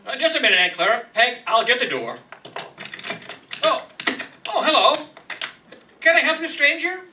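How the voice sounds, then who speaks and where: A man's voice: very high voice, animated, normal speed, bright, forceful tone, roomy sound, exaggerated voice. A man playing an earnest, self-pleased husband with an eager, polite manner in a radio comedy play. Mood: polite, flustered. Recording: noisy, distant stage microphone.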